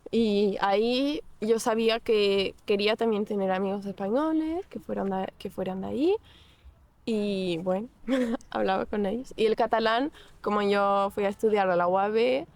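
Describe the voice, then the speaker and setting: A young woman chats in an unhurried, halting way, often stopping to restart a thought. Her voice sits at an ordinary middle pitch. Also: vocal fry, a little mellow. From Portugal. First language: Portuguese. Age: young adult. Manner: hesitant, many restarts and fillers. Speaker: guest. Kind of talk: casual interview.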